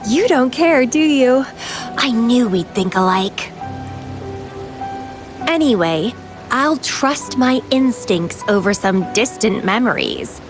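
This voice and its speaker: A woman's voice: on the high side, lively intonation, slow pace, pauses often, over music. An actress playing a playful, carefree, enigmatic young woman in a video game, with a teasing, languid, theatrical delivery.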